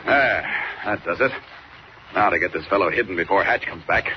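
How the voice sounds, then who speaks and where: Casting: man to play a confident caped hero for a vintage radio serial, low and commanding, heard through heavy old-broadcast noise. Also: brisk, lightly acted. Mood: brisk, satisfied. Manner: commanding, talks to himself while acting. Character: bold caped superhero, confident and wryly amused. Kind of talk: 1940s radio acting. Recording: muffled, noisy old radio recording.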